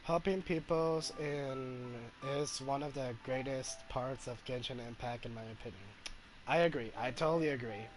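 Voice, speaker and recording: A man giving casual gameplay commentary as a streamer, with halting, hesitant phrasing. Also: medium pitch, over music, rough recording. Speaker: game streamer.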